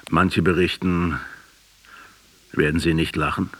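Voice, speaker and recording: Earnest debating intellectual, measured, sober, deep pitch, breathy husky tone, radio drama. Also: male voice, little pitch movement, a bit bright, exaggerated voice. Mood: solemn. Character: sober, argumentative male intellectual challenging a revolutionary. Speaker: actor in a radio play. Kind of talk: German radio drama acting.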